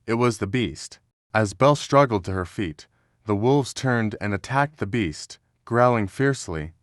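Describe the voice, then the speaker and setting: Male storybook narrator, scripted read, fairly deep, measured drama, no room sound. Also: animated, no room sound, clean studio sound.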